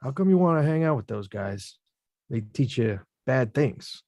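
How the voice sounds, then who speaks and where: A man acts out a grown working-class boxer in a screenplay reading. He talks in blunt streetwise slang, plain and protective, at an ordinary male pitch. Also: lively intonation, a little slow, dark tone, dry recording, studio quality. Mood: concerned, lecturing. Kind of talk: screenplay table read acting.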